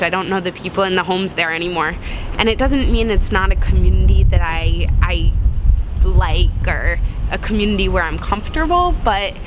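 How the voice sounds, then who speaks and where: A woman's voice: mid-range voice, noisy background. A young woman from Connecticut telling a personal story in a reflective way, with many fillers and restarts. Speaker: interviewee.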